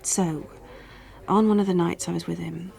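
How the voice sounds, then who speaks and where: A woman's voice: fairly low pitch, steady tone, normal speed, vocal fry, a little mellow. A woman acting in a radio drama as a guarded, candid escort with a wry, matter-of-fact way of talking. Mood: frank, matter-of-fact.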